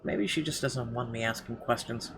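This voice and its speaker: A man's voice: mid-range voice, normal speed. A man reading a visual novel's story aloud as a gameplay host. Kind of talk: reading game narration aloud. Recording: clear background noise, game ambience.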